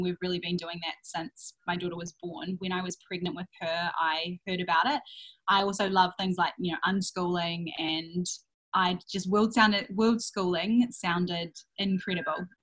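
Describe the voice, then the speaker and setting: A woman's voice: lowish voice, fairly bright tone, poor audio. A young woman from England, talking openly and reflectively as an interview guest about family life. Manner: open, reflective, with fillers and quick asides. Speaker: interview guest, worldschooling mother. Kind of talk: casual interview answers.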